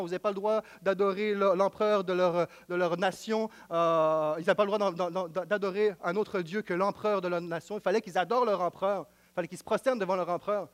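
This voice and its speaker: A man preaching with a Quebec French accent, his voice sitting high. He hammers home repeated phrases. He swings from loud proclamation to hushed, intimate asides. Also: smooth. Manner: emphatic, repetitive, swings between loud declaiming and quiet intimacy. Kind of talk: sermon.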